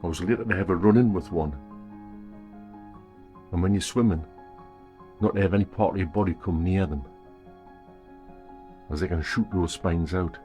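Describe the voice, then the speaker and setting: A man's voice: sits low, normal speed, pauses often, dark tone, faint music behind. An older man chatting casually as a travel vlogger, walking a long pier outdoors and making wry asides. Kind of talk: casual travel vlog narration.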